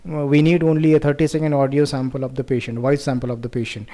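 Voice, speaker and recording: A man with an Indian accent presenting a research project in an explanatory way, with halting, deliberate phrasing. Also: medium pitch, little pitch movement, mellow.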